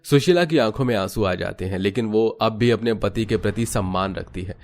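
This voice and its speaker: A man's voice: lowish voice, fairly quick, darkish tone, faint music behind. A man giving scripted, melodramatic narration for a cartoon family story.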